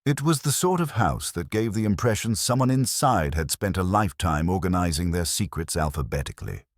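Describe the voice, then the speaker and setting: A man's voice: low-pitched, animated, brisk, dry recording, clean studio sound. A man reading scripted narration of a detective mystery story.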